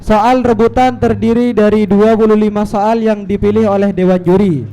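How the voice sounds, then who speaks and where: A man formally reading out the rules of a school quiz competition at an event, in a measured, even way. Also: sits high, soft background music, poor audio. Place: event hall at an Islamic boarding school.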